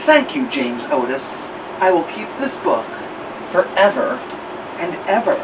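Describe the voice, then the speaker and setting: A man's voice: sits high, very animated, unhurried, distant mic, muffled recording, noisy background, pitched above the performer's own voice, exaggerated voice. A man reading a storybook and voicing a shy little girl who is delighted by a gift. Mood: hushed, grateful.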